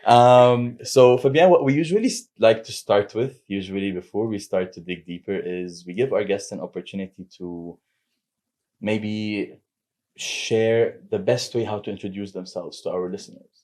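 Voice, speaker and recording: A man's voice: fairly low pitch, moderate pace. Upbeat man hosting a podcast. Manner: upbeat, energetic interviewer. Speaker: podcast host. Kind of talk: interview hosting.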